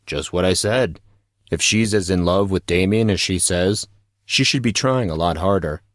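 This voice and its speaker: Man playing a deep-voiced flippant teenage schoolboy. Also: normal speed, no echo, studio quality, close to an everyday voice. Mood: flippant, casual. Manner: casual, slangy, flippant. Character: laid-back, gossipy, wisecracking teenage schoolboy. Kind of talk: audio drama acting.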